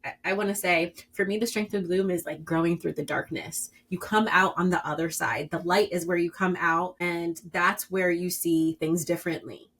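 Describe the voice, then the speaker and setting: A woman in her thirties, a podcast guest, talking earnestly and emphatically, her voice climbing high and loud when she stresses a point. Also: steady tone, moderate pace, hardly any pauses, slightly rough. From Puerto Rican and Dominican background. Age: 30s. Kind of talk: candid personal interview.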